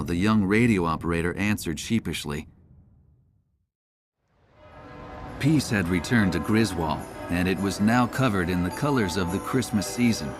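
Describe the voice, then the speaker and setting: An actor playing a reflective, world-weary war reporter who narrates a game's story in measured, literary phrases. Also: male voice, deep voice, lightly acted. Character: male war correspondent, reflective and world-weary, recounting events. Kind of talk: scripted first-person game story narration. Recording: music behind the voice. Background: music.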